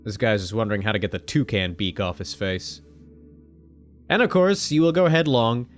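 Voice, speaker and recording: A man hosting a let's-play video, reading a game's text aloud and joking, swearing casually and jumping into sudden loud high-pitched exclamations. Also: lively intonation, normal speed, soft background music. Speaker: gaming video host.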